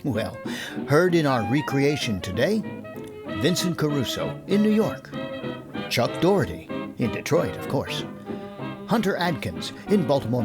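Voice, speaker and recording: Male announcer-host, mid-pitched and animated, scripted radio-style narration with prominent music behind. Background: loud music.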